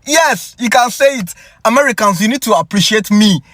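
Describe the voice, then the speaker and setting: A man's voice: high voice, animated, normal speed, a bit bright, dry recording. A man talking playfully into his phone, teasing his viewers and laughing between remarks. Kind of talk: casual talking-head clip.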